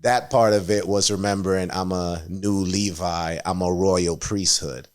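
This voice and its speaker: A man's voice: low-pitched, fairly even intonation, measured pace. A man from Jamaica, a guest artist in a casual interview, talking in an earnest, reflective way with easy chuckles.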